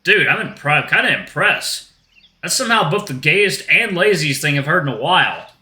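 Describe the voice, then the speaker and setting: Man as a cocky, sardonic guy, bright voice. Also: fairly high pitch, very animated, moderate pace, reverberant, voice pushed up, exaggerated voice. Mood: grudging, sardonic. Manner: blunt, sardonic. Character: cocky, sarcastic, irritable male animal character, Dom.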